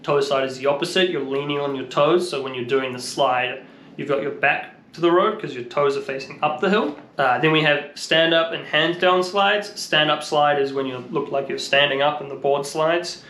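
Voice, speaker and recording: A man's voice: fairly high pitch, moderate pace, bright, forceful tone, a little hiss or hum. A man giving a casual, unscripted explainer as an instructor, thinking aloud in an informal, slightly hesitant way. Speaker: longboarding instructor and video host. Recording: roomy, echoing sound.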